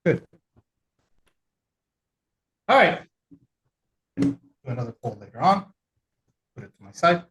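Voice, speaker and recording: A man from El Salvador giving a relaxed, conversational technical webinar with frequent fillers. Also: a little high, slow pace, long pauses, reverberant. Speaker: webinar presenter.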